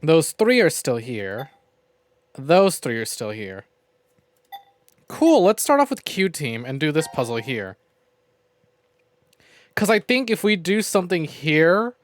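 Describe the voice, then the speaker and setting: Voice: medium pitch, sing-song, a little slow, long pauses, no echo, studio quality. A streamer giving casual, jokey and sweary live commentary while playing a visual novel. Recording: game music behind the voice. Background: video game music.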